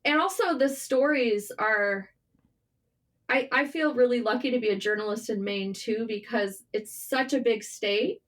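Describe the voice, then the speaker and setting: A woman speaking as a writer guest in a casual, candid interview, informal and animated in manner. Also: fairly high pitch, unhurried. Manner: animated, informal, swearing, self-deprecating.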